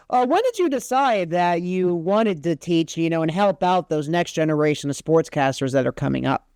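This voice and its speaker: High-voiced, clear male interviewer. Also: a little mellow, no echo. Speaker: podcast host, interviewer. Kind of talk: casual interview questioning.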